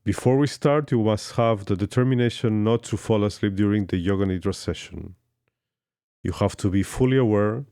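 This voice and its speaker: Very deep, slow male meditation guide. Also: dark tone, no room sound, studio quality. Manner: slow, soothing instructions with long pauses. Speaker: yoga instructor. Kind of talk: guided yoga nidra meditation.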